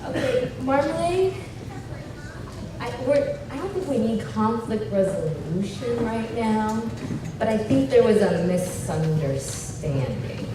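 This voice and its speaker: A woman's voice: fairly high pitch, slow pace. Woman from Tanzania telling her story in an echoey hall. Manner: animated and joking, then earnest and reflective. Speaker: speaker at a fundraising brunch. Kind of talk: personal story told onstage. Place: event hall at a brunch. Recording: echoey hall, far mic, ambient noise.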